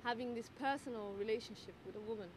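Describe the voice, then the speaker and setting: A woman from the Netherlands who works as a midwife and tutor, answering interview questions in a thoughtful way with many fillers and pauses. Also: fairly high pitch. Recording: noisy background ambience.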